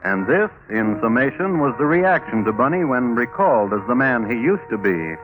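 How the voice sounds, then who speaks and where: A man narrating a period radio drama as a measured, scripted storyteller. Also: mid-range voice, very animated, natural voice. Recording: tinny vintage radio, loud music behind. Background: loud music.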